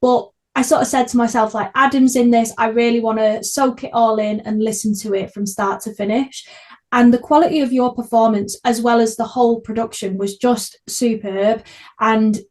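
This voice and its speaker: Young female podcast host, Manchester accent, higher-pitched voice, effusive and chatty in casual conversation. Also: steady tone, moderate pace, darkish tone. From Manchester, England. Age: young adult. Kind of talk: casual interview chat.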